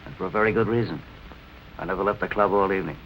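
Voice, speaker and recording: An actor playing a defiant nightclub owner in 1940s film noir style, brusque and deadpan. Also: male voice, low voice, very fast, slight background noise, rough recording.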